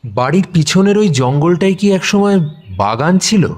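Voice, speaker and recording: An actor playing a curious, pensive young amateur sleuth, musing in casual audio-drama dialogue with a husky voice. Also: male voice, high voice, expressive, moderate pace, darkish tone. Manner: musing, hesitant. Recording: music bed under voice.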